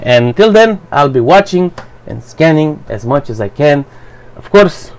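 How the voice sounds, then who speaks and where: A man whose first language is Romanian, giving a blunt, profane, halting commentary for his channel. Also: on the high side, sing-song. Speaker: vlogger. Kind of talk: rambling personal commentary.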